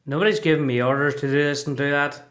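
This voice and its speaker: A curious young country lad from a prison radio drama , played by a man, with a Northern Irish accent. His voice is a little high, and he talks simply in short, naive questions and answers. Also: steady tone, quick pace, fairly bright tone, natural voice. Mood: plain, puzzled. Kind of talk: radio play acting.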